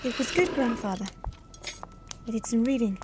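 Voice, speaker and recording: An actress playing a polite, dutiful young granddaughter in an audio drama. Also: female voice, fairly high pitch, expressive, moderate pace, noisy background, close to an everyday voice. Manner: polite, obedient.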